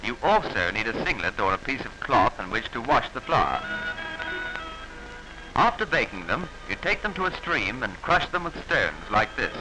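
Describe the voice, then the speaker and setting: A man narrating a 1940s instructional film in a formal, instructive manner with measured diction, on an old film soundtrack. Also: medium pitch, sing-song, music in the background, poor audio.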